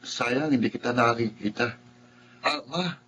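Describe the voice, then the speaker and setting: A man playing a gentle young blind son and big brother in a radio drama, speaking softly. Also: medium pitch, unhurried, muffled recording, slight background noise. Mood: friendly. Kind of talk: Tagalog radio drama acting.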